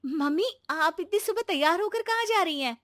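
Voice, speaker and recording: A woman playing a confident, reasonable young daughter-in-law in a cartoon story, arguing gently and earnestly. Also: very high-pitched, animated, normal speed, dry recording, clean studio sound. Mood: surprised. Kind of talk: Hindi cartoon story dubbing.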